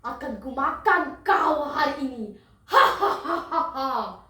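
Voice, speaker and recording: A young female storyteller voicing a greedy, menacing crocodile with gloating, drawn-out speech. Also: high-pitched, expressive, sharp tone, reverberant, recorded from a distance, heavily acted.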